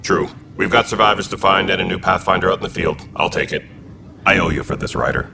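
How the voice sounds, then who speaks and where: Very deep gravelly alien commander. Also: male voice, fast talker. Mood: weary, grateful. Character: gruff, weary but grateful male alien security commander. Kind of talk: video game dialogue. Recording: distant, noisy game ambience.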